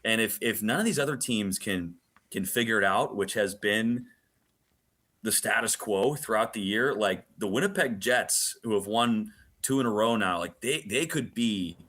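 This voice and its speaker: A man's voice: lowish voice, moderate pace, fairly bright tone. A man speaking as a play-by-play announcer giving detailed, emphatic analysis in a sports radio interview.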